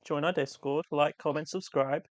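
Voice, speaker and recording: A woman's voice: low voice, fairly even intonation, normal speed, no echo. A woman co-hosting an art livestream, chiming in with brief, dry remarks. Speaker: livestream co-host. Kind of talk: casual live chat.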